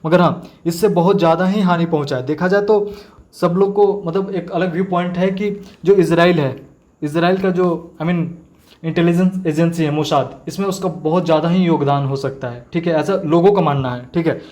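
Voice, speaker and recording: Male exam tutor, slightly high voice, informal step-by-step explaining peppered with English terms and check-in tags. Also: moderate pace, a little mellow. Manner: frequent check-in tag phrases, mixes in English terms. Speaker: exam-prep teacher. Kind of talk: informal explanatory current-affairs lecture.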